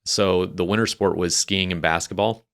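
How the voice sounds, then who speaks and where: Deep-voiced man telling his story. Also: fairly even intonation, normal speed, gravelly, fairly bright tone. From New Hampshire, USA. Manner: rambling, many restarts and fillers. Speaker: podcast guest, Navy veteran. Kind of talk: casual interview storytelling.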